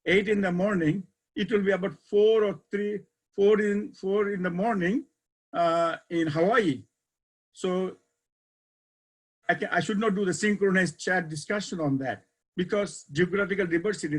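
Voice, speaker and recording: A man's voice: high voice, fairly bright tone, slightly rough. An older man with a Bangladeshi accent explaining material in a webinar lecture, emphatically repeating key terms in simple conversational phrasing. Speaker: university educator, keynote speaker.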